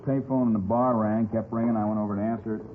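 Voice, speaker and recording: A man's voice: on the low side, little pitch movement, fast, phone-quality sound, close to an everyday voice. An American man playing a talkative, uneasy bartender telling his story in slangy 1950s radio drama style. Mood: uneasy, explaining. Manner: colloquial, storytelling. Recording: thin old radio recording, faint noise.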